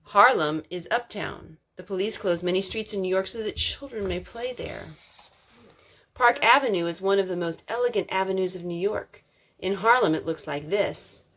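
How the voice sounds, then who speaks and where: A woman reading a picture book aloud as a storytime host, expressive, drawing out words for emphasis. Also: mid-range voice, normal speed. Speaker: storytime reader.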